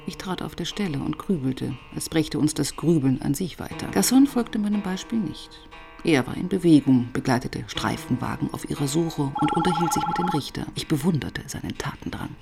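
Low-voiced, reflective female inspector. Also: lively intonation, moderate pace, gravelly, a little mellow, faint music behind. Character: reflective, probing female police inspector, narrating. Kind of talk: radio crime drama, first-person narration and dialogue.

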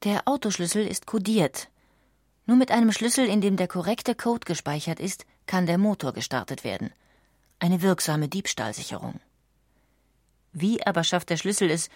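A woman narrates a documentary script in a lowish voice. Her delivery is measured and articulate, and the recording is dry and close, with very little room sound. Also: lively intonation, a little mellow, no echo. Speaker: audiobook narrator.

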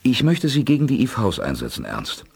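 A man's voice: medium pitch, wildly swooping pitch, a little fast, a little mellow, full character voice. An actor playing a resolute, authoritative male space commander in a radio play. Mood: measured, resolute. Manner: crisp, authoritative orders. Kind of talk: 1980s German radio play acting.

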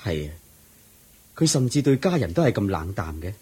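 A man's voice: on the low side, big pitch swings, normal speed, dark tone, husky, full character voice. An actor playing a devoted male disciple in a radio drama, speaking earnestly and respectfully. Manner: earnest, respectful, explaining at length. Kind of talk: 1980s-style radio drama acting.

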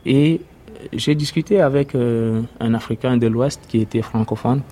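A young man from Sudan, native Arabic speaker, giving a hesitant personal testimony with many pauses. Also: medium pitch, warm tone. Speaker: interviewee, refugee.

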